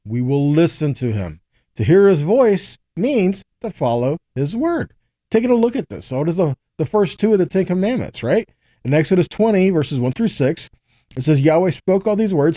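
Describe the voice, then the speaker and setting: A man's voice: a little high, mellow, no room sound, clean studio sound. A man giving a conversational Bible teaching, reading verses aloud and explaining them earnestly, often checking in with short rhetorical questions.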